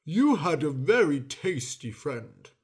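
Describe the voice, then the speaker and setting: A reader voicing a smug, careless male dog in a storybook, offhand and loud. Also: medium pitch, very animated, takes it slowly, breathy edge, heavily acted.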